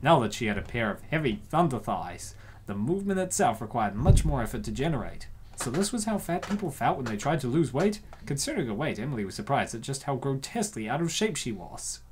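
A man's voice: medium pitch, big pitch swings, brisk, a little hiss or hum. A man narrating a comic as commentator, theatrical and slangy, riffing casually on it as he goes.

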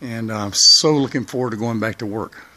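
Low-voiced man narrating casually outdoors. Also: fairly even intonation, normal speed, bright, forceful tone. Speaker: hobby radio video maker. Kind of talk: casual vlog narration while filming. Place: outdoors in a backyard.

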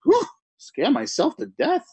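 A man's voice: extremely high pitch, huge pitch swings, unhurried, a little mellow, dry recording, heavily acted. A man playing a jumpy writer alone at night, rambling and mocking himself in 1940s radio acting style. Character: jittery lone male writer talking to himself.

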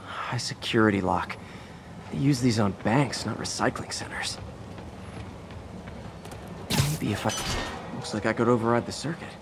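Earnest young American superhero, noisy backdrop. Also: male voice, lowish voice, moderate pace, creaky. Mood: focused. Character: earnest, quick-witted young male masked superhero. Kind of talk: video game voice acting.

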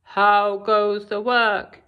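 A woman voicing a lazy old male farmer who slowly calls out demands from his bed. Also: level tone, smooth, phone-quality sound. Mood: demanding. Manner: slow, booming, demanding.

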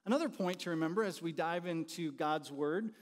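A man's voice: fairly high pitch, brisk. A middle-aged American man giving an informal, conversational teaching talk to an audience, often putting questions to them. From born in the United States, raised partly in Haiti. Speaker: university dean and teacher, conference speaker. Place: large church auditorium, speaking from the front by the stage. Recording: reverberant hall sound.

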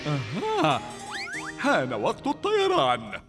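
A man's voice: on the high side, wildly swooping pitch, unhurried, loud music behind the voice. A man voicing a gleeful, scheming villain in a children's cartoon dub, theatrical and gloating.

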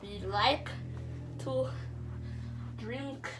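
A woman's voice: high voice, very slow, a bit bright, slight background noise. A young girl chanting and talking playfully while dancing and jumping around her bedroom, recorded on a phone on the floor. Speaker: performer of a homemade dance video. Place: bedroom at home.

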